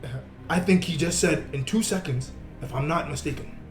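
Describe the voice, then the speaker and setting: A man's voice: a little high, brisk. A young man in casual podcast chat, slangy and joking, recorded in an echoey room with music behind. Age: young adult. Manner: slangy, joking, repeats himself.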